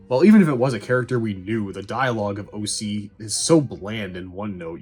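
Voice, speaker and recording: A man with a slightly low, husky voice delivers casual review commentary. He is blunt and opinionated, swears freely and drops wry asides. Music plays behind his voice. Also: darkish tone. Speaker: video essay host reviewing movies. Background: background music.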